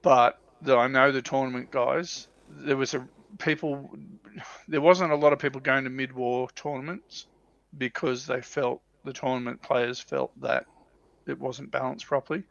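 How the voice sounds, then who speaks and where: A man giving his opinions in casual, halting chat as a panelist on a hobby livestream, often rephrasing as he goes. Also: medium pitch, fairly even intonation, gravelly, fairly bright tone, no room sound, lo-fi sound. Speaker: livestream panelist on a tabletop wargaming show.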